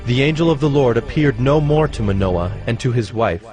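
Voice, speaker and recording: A man narrating scripture from a script in a solemn, measured storytelling manner. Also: mid-range voice, expressive, darkish tone, faint music behind.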